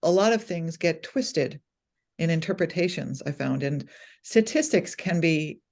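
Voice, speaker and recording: A woman's voice: low-pitched, measured pace, darkish tone. A woman host introducing a guest author and asking interview questions in a friendly manner.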